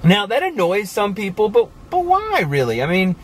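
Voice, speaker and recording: A man in his forties talking casually to the camera from inside a car, animated and chatty, with many asides. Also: high-pitched, moderate pace, slight background noise. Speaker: vlogger. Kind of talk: casual motivational talk to camera. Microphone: camera on the dashboard.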